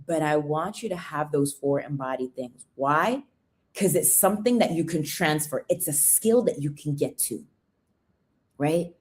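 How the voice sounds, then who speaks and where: A woman with a fairly low voice hosts a casual motivational livestream. She talks energetically, greets viewers by name and keeps checking in with "right?" English is not her first language. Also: a little slow. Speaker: livestream host, supplement seller.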